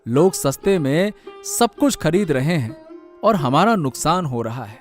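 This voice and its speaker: A struggling village vegetable seller acted by a man in a cartoon story. He sounds worried and complaining, in a fairly high voice. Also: lively intonation, unhurried, faint music behind.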